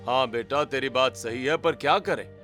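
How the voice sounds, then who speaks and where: A man voicing a poor, patient parent gently apologising to a child in a cartoon story. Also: mid-range voice, moderate pace, faint music behind, pitched below the performer's own voice, natural voice. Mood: gentle, apologetic.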